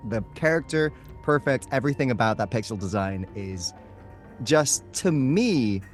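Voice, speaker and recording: A man's voice: medium pitch, expressive, a little slow, faint music behind. A man chatting casually as an interview guest, playful and a touch theatrical in how he puts things.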